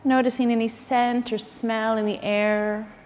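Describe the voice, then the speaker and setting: A woman leading a guided yoga nidra relaxation, speaking in a soothing, gentle way with unhurried pauses. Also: fairly high pitch, level tone. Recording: long reverberant hall, faint background noise.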